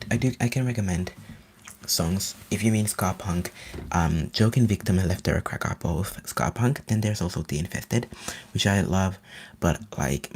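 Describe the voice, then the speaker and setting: A man from Finland, a native Finnish speaker, rambling eagerly in a soft whisper as an ASMR live streamer. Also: low-pitched, a little hiss or hum.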